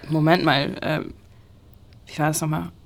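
An actress playing a blunt, slangy young best friend with a gravelly, husky voice in an audio drama. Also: female voice, low voice, flat delivery, unhurried. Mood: casual, recalling. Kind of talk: naturalistic audio drama acting.

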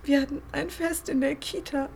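A woman's voice: high-pitched, steady tone, moderate pace, creaky, darkish tone. An actress playing a shaken, grieving young woman in a naturalistic crime drama. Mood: subdued grief. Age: young adult.

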